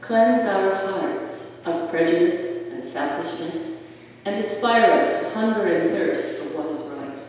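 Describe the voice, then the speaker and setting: Slow, halting prayer reader in an echoey church. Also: medium pitch, a little mellow, far from the mic, a little hiss or hum. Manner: halting, with slips and self-corrections. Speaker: lay reader leading intercessions. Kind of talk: reading prayers aloud in a church service. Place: large church with stone walls. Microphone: distant room mic.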